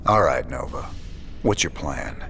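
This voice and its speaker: Gruff rebel space captain, very low pitch, laconic drawl, skeptical, game cutscene, music underneath. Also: male voice, lively intonation, a little slow, a bit bright, exaggerated voice. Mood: businesslike. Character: wary, gruff male rebel space commander. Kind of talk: video game cutscene acting.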